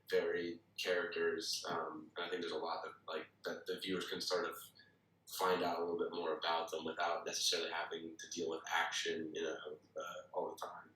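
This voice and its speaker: A man's voice: low voice, a bit bright. A man giving casual, tentative feedback in a group discussion. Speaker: table read participant giving feedback. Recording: distant, echoey room with faint noise.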